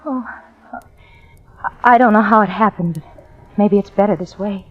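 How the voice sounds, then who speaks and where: Actress as a devoted imprisoned wife, tender, pleading, 1940s radio drama, dull lo-fi sound. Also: female voice, medium pitch, normal speed, lightly acted. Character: devoted young wife jailed for murder. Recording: dull old radio recording.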